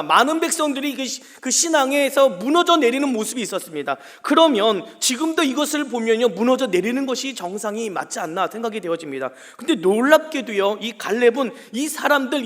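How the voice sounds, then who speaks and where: A man's voice: extremely high pitch, fairly bright tone. A male pastor giving an earnest early morning sermon on a scripture reading, rising with emphasis when he quotes the text.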